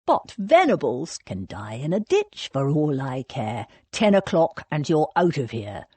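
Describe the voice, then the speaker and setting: A woman's voice: low-pitched, huge pitch swings, a little slow, darkish tone, slightly rough, dry recording, voice pushed down, full character voice. A woman voicing an angry, commanding male lord with a clipped, menacing, snarling delivery in an audiobook. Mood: cold, steely. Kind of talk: audiobook character dialogue.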